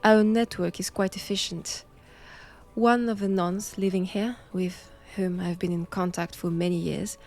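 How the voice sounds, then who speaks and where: Woman doing a french accent nun who studies vampires, solemn and sure of herself, really slow n hushed, bit of creaky fry, roleplay audio. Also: medium pitch. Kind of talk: intimate audio roleplay acting.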